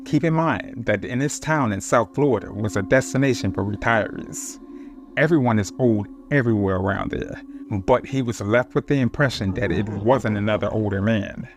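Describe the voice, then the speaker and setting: A man narrating true-encounter horror stories in a steady, measured reading voice. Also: lowish voice, faint music behind. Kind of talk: scripted horror story narration.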